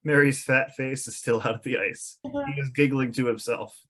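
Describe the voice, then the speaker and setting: A man's voice: mid-range voice, steady tone, normal speed, fairly bright tone. A man reading scripted stage directions as narrator at an amateur comedic table read.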